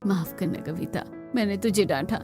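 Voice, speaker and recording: A woman's voice: mid-range voice, normal speed, dark tone, faint music behind, close to an everyday voice. A woman voicing an older cartoon mother-in-law who is stern, worrying and caring. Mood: remorseful. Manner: scolding, worrying.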